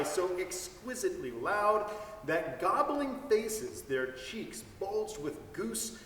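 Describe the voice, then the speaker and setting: A man giving an expressive, savoring dramatic reading of a story. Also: on the high side, slight background noise. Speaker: reader. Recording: echoey hall from far off.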